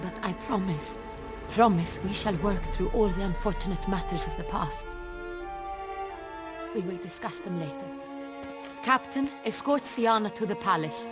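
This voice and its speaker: A woman's voice: medium pitch, unhurried, pauses often, over music, natural voice. An actress playing an imperious, proud noblewoman ruler in a fantasy game, formal, commanding and dramatic. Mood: formal, resolute. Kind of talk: theatrical fantasy game acting.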